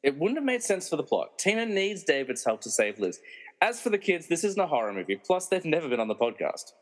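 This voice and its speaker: Theatrical comedy narrator played by a man, mid-pitched and very animated, dry mocking asides, long hall reverb. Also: fairly quick. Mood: wry, mocking. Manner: wry, theatrical. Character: wry, meddling movie narrator who mocks the characters. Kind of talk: dramatic scripted narration with comic asides.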